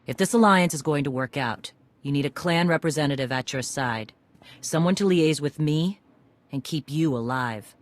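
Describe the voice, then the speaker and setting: A woman's voice: low-pitched, moderate pace, lightly acted. Actress as a blunt, battle-worn warrior woman. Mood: firm, wry. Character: gruff battle-worn female Mandalorian warrior clan leader. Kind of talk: video game voice acting.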